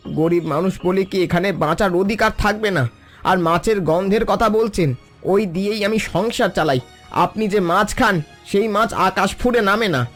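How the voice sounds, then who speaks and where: A man's voice: sits high, moderate pace, fairly bright tone, soft background music. A man voicing a poor, long-suffering fisherman in a cartoon, earnest and dignified. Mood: wounded defiance. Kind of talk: scripted cartoon dialogue.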